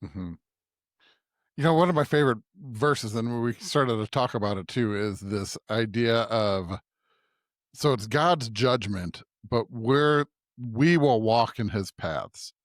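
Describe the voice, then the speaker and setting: A man's voice: mid-range voice, animated, slow pace, no room sound. Reflective man chatting, dry podcast sound. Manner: reflective, checks in with "right?". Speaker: podcast co-host. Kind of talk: conversational Bible discussion.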